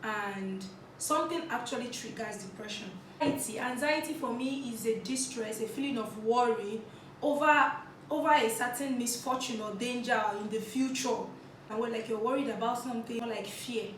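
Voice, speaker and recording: A fairly high-voiced woman chats to her viewers while snacking, giggling and crunching between thoughts. Her voice comes from a step back from the mic and rings in a very echoey room, with a faint hiss underneath. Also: normal speed, far from the mic. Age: 20s. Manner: chatty, giggly, talks between crunchy bites. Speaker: vlog host. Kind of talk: casual talk while eating on camera.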